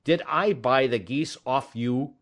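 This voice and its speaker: A man voicing a fierce, impatient market stallholder, scornful and shouting, in a storybook reading. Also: medium pitch, measured pace, voice pushed up, natural voice. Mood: furious.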